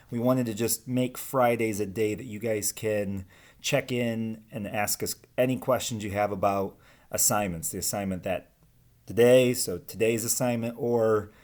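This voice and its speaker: A man speaking casually as a teacher to children, pausing between short phrases. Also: lowish voice, darkish tone. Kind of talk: casual talk and children's read-aloud.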